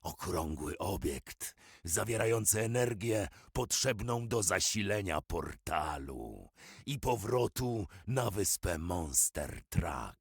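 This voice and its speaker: A deep, gravelly ice dragon lord, voiced by a man, speaking slowly and gravely with a rough, husky edge. His low voice rolls up and down dramatically as he declaims. It is recorded close and dry, with no room sound. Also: sing-song, no room sound, full character voice. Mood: solemn. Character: male ice dragon, leader of his kind, solemn. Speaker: voice actor demo. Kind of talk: dramatic character read.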